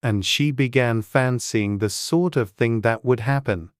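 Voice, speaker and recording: A man narrating a storybook audiobook in a gentle, measured, good-humoured way. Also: medium pitch, clear, resonant tone, dry recording, clean studio sound.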